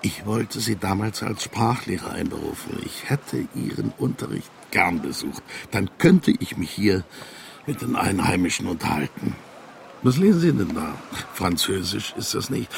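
Old retired east german army colonel in a radio drama, jovial n nostalgic, creaky voice, swoops up and down, bit of background noise. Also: male voice, low-pitched, big pitch swings, moderate pace, heavily acted. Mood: nostalgic, curious. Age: older.

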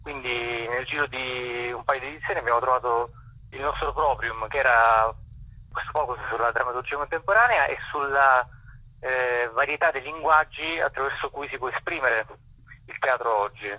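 A man giving unscripted, halting answers as a guest in a radio interview, searching for words. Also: mid-range voice, dry recording, dull audio. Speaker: theatre festival director, interview guest.

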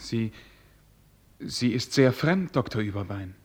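An actor playing a stiff, naive young prince, formal, earnest and hesitant, in a German radio play. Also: male voice, mid-range voice, lively intonation, a little slow, background noise, close to an everyday voice. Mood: troubled, awed.

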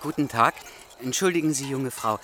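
An actor playing a sceptical, grumbling stranded train traveller in a radio play, dry and muttering. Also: male voice, medium pitch, moderate pace, lightly acted. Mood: puzzled, grumbling. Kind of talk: German radio play dialogue and monologue. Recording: clearly noisy background.

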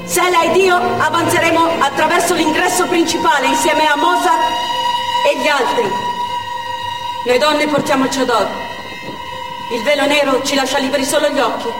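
A woman's voice: sits high, steady tone, normal speed, long pauses, lightly acted. An actress playing a devout, fearful Chechen woman militant, narrating in a theatrical stage monologue. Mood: grim, resolute. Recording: echoey theatre hall, distant, faint music.

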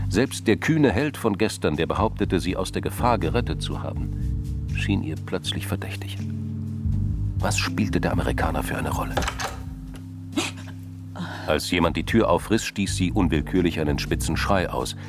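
A man's voice: low-pitched, vocal fry. A man narrating a scripted crime drama.

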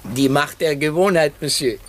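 Man acting courteous, excitable foreign gentleman detective, higher-pitched. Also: normal speed, a little hiss or hum, close to an everyday voice. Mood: wistful, proud. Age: older. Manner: courteous, florid, theatrical. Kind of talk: 1950s German radio drama acting.

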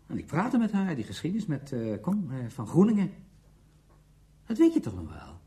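An actor playing a defensive, self-excusing bachelor in a radio drama, talking in a hesitant but emphatic conversational way. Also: male voice, a little high, wildly swooping pitch, moderate pace, warm tone.

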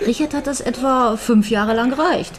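An actress playing an anxious, questioning widow in a German radio crime drama. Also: female voice, a little high, moderate pace, crowd noise, lightly acted. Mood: wary, reasoning. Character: threatened widow Ruth, anxious, questioning, piecing things together.

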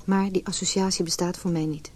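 A woman's voice: fairly low pitch, fairly even intonation, brisk, a little mellow, slight background noise, natural voice. An actress playing an anxious, wounded, introspective married woman in a Dutch radio play. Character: anxious, wounded married woman recalling her lonely childhood.

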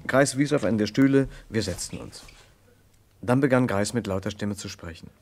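An actor playing an earnest, troubled gentleman who narrates an old German radio crime play in a careful literary manner. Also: on the low side, close to an everyday voice. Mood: grave, narrating. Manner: measured, literary storytelling, hesitant in dialogue. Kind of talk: mid-20th-century German radio crime drama narration and acting.

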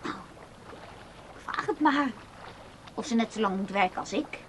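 A woman's voice: fairly high pitch, animated, moderate pace, a bit bright. An actress in a Dutch radio play playing a lonely, touchy, clinging woman who is much younger than her companion. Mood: eager, insistent. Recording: radio play recording with background noise.

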